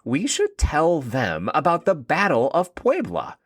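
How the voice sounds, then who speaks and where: A man's voice: a little high, huge pitch swings, measured pace, dry recording, studio quality, pitched above the performer's own voice, full character voice. A man voicing an eager, proud young schoolboy in a children's story, earnest and enthusiastic.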